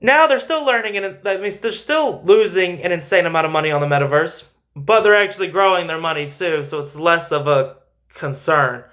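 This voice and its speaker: A man's voice: on the high side, normal speed, fairly bright tone. A man hosting a finance show, giving informal, emphatic stock commentary and repeating phrases for stress.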